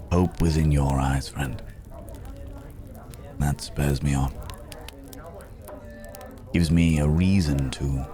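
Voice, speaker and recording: A man playing a weary, philosophical cursed werewolf in an intimate ASMR roleplay, musing in courteous, old-fashioned words with long, hesitant pauses. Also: extremely low pitch, darkish tone. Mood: grateful, warm. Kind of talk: slow intimate ASMR audio roleplay acting. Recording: background crowd murmur.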